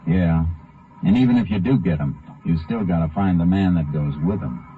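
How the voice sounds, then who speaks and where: A very low-voiced lawman, as acted by a man, calm and dogged as he works a case. He speaks in clipped, understated lines in an old radio drama style, on a muffled vintage recording. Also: normal speed, very noisy, natural voice. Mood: businesslike. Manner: terse, understated, businesslike. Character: calm, dogged male Texas Ranger investigating a killing. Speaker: lead actor and first-person narrator. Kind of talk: 1950s radio drama acting.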